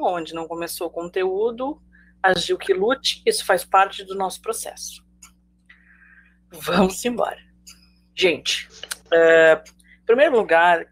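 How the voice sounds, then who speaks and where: Brazilian woman teacher, casual and joking with students, lowish pitch. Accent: Brazilian Portuguese. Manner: chatty, jokey, addresses students directly. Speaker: sociology teacher. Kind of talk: live online class.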